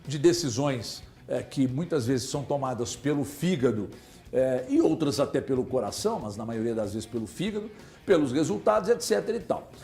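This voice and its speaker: A man's voice: medium pitch, normal speed, echoey room. A man hosting a sports talk show, arguing emphatically in a casual debate. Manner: animated, emphatic, talks over others.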